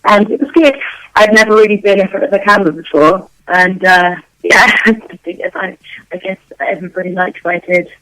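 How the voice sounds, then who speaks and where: A woman singer being interviewed, her voice worn out and going hoarse, chatting loosely with lots of ums and restarts. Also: mid-range voice, brisk, fairly bright tone, no echo, low-quality recording. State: losing her voice. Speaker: guest vocalist. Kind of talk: casual interview answers.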